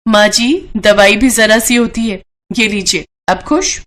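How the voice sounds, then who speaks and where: A woman voicing a young Indian housewife and mother in a cartoon story, caring but harried, with a brisk, nagging manner. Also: mid-range voice, a bit bright, close to an everyday voice. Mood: firm, scolding. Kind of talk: Hindi cartoon moral story acting.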